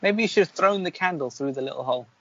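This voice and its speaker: A man's voice: on the high side, animated, fast talker, highs cut off, slight background noise. A man playing a game as co-host, chatting casually with wry, jokey remarks. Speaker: player and co-host. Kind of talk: casual banter during a game.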